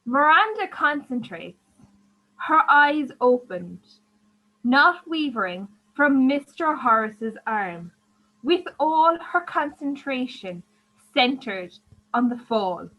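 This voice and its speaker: A woman's voice: sits high, very animated, takes it slowly, muffled recording. A woman reading scripted stage directions as the narrator of a radio play.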